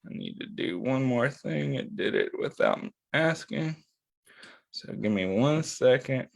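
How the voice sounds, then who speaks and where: Slow-paced man hosting children's storytime. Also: medium pitch, animated, vocal fry. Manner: simple, reassuring, checks in with listeners, drawn-out phrasing. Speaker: children's storytime host reading a picture book. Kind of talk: storytime read-aloud and chat to young children.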